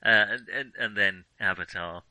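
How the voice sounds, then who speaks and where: A man's voice: lowish voice, normal speed, sharp tone, dry recording. A man co-hosting a podcast, chatting casually about films in a hesitant, circling way. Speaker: podcast co-host. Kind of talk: casual film discussion.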